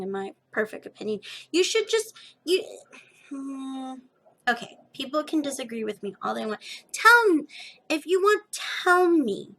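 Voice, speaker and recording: A young woman with a slightly gravelly edge, chatting casually and emphatically as a podcast host, often restarting her sentences. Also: fairly high pitch. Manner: animated, emphatic, self-interrupting. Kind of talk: casual rambling chat to viewers.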